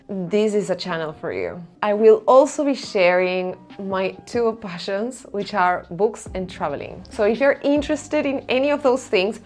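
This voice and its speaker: A woman's voice: mid-range voice, darkish tone. A woman from Argentina, a native Spanish speaker with an Argentine accent, gives a candid, emphatic personal self-help talk as host of her own channel.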